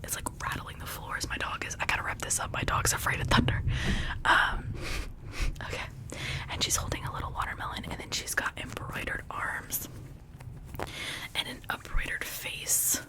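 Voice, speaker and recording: A woman speaking in a breathy whisper, rambling informally in a chatty ASMR style. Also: moderate pace, poor audio. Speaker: ASMR creator showing and unboxing toys.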